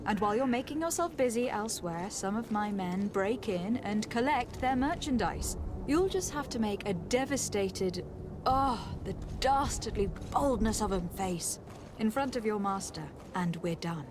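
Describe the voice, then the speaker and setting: A woman's voice: mid-range voice, lively intonation, moderate pace, background noise, lightly acted. An actress playing a poised, commanding noblewoman diplomat in a video game, speaking formally and articulately. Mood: shrewd, persuasive. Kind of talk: scripted video game dialogue. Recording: music behind the voice.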